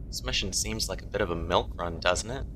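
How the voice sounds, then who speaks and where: Low-voiced, laid-back male helm officer, acted. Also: fairly quick, noisy background, lightly acted. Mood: flippant, bored. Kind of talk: fan audio drama acting.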